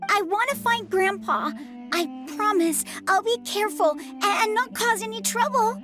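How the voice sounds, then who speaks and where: Eager high-voiced little boy. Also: normal speed. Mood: pleading. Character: eager little village boy attached to his grandpa. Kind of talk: video game cutscene acting. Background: music.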